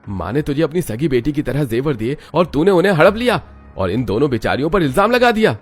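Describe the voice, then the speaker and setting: A man plays a gullible husband in a moral story drama. He scolds angrily and dramatically, a dutiful son with his voice pitched up. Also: big pitch swings, a little fast, soft background music. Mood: angry.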